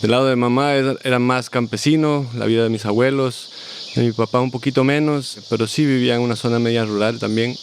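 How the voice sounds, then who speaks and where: A man, a guest in a casual interview, telling stories in a reflective, unhurried way. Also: medium pitch, steady tone, slight background noise. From Costa Rica. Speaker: guest, family farmer.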